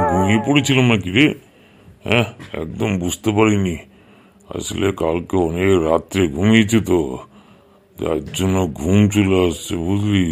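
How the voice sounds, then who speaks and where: A man's voice: lowish voice, creaky, full character voice. A man playing a sleepy male schoolteacher in a comedy cartoon, drawling and scolding while claiming to be strict.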